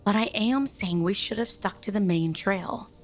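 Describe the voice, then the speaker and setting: A woman's voice: mid-range voice, moderate pace, faint music behind, close to an everyday voice. A woman playing a bossy, irritable mean girl in an ASMR roleplay, with an exasperated, scolding and sarcastic way of talking. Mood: annoyed, sarcastic. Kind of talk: ASMR roleplay audio drama.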